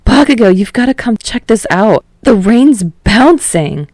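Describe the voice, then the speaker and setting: An easygoing teenage boy full of eager cheer, as a woman narrator plays him. The voice is lifted above a low natural register, enthusiastic, in storybook style with a dry sound. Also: big pitch swings, measured pace, darkish tone, no echo. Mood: excited. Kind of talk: audiobook character voice.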